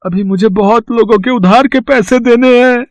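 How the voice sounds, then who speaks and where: Excitable, grasping merchant husband played by a male actor, high voice, melodramatic delivery, dry storybook recording. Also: moderate pace, no echo. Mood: shocked, worried. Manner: melodramatic, emphatic. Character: excitable greedy merchant husband, prone to despair.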